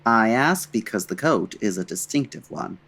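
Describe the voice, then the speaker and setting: An actor playing a suave, dry-witted 1940s gentleman detective, urbane and measured, in an old-time radio style. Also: lowish voice, lively intonation, husky, heavily acted. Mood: wry, probing.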